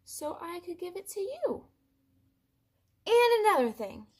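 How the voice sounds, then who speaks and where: Woman as a tiny storybook duckling, sweet, innocent, polite, high-pitched, bouncy intonation. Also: big pitch swings, measured pace, full character voice.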